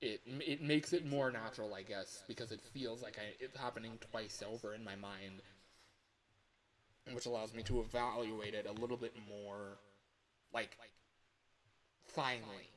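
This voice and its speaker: A man talking casually as a livestreamer and game developer, rambling and breaking off his own sentences with animated asides. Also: on the low side, normal speed, pauses often, low-quality recording.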